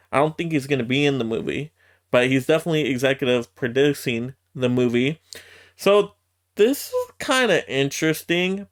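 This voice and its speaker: Guy doing casual vlog news commentary, smooth clear voice, medium pitch, chatty and emphatic. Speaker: YouTube host giving movie news commentary.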